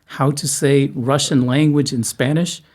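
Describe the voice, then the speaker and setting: A man's voice: medium pitch, fairly even intonation, unhurried, a little mellow. A man hosting a podcast interview, talking in a chatty, self-deprecating way with frequent restarts. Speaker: podcast host. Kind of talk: casual interview chat. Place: studio room in Moscow.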